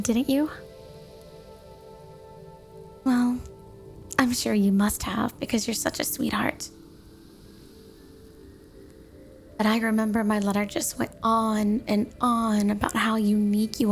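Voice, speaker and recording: A woman's voice: a little high, darkish tone. A woman playing an obsessively devoted snow woman wife in a flirty ASMR roleplay, gushing and cooing with a sweet but possessive manner. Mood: tender, doting. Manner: gushing, cooing, doting, dreamy.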